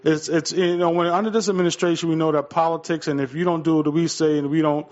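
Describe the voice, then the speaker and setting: Male talk radio host, higher register, hesitant and agreeable delivery, somewhat lo-fi sound. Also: fairly even intonation, fast talker, darkish tone. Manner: hesitant, repetitive phrasing, often echoing and agreeing. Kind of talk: political talk radio conversation.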